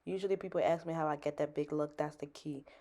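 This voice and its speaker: A woman's voice: deep voice, fairly even intonation, fast, mellow. A woman from Liberia with a Liberian accent, chatting casually and playfully as a beauty and fashion vlogger walking through a hair tutorial. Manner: chatty, playful, self-assured.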